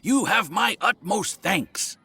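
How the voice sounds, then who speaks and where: A man's voice: sits high, animated, slow pace, sharp tone, heavily acted. A man playing an effusively polite, oily and jovial landlord in an anime game, with a formal, chuckling manner. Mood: grateful, jovial. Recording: music under the voice.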